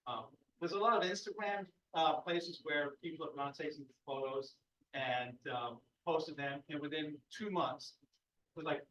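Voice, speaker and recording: A man talks in a slow, halting way, with plenty of "um" and "uh". He sounds distant from the microphone, in an echoey room with dull, lo-fi sound. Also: fairly high pitch, pushed and bright. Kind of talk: casual chat.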